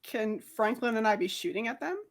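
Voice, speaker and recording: A woman's voice: fairly high pitch, a little fast. A woman playing a tabletop roleplay game, chatting casually with the group.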